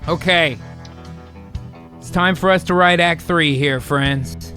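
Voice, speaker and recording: A man's voice: fairly high pitch, slow pace, sharp tone. A man hosting a comedy improv show, mixing jokey, profane banter with dramatic story narration. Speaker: host and improv performer narrating. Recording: guitar music behind the voice. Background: plucked guitar music.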